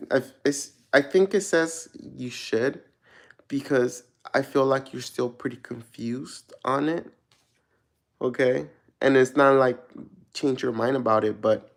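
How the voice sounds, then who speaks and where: Male tarot reader from Mexico, mid-pitched and gravelly, loose and rambling delivery. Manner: rambling, searching for words, many restarts; relaxed, laughs at himself. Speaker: tarot reader answering viewer questions. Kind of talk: casual live-chat tarot reading.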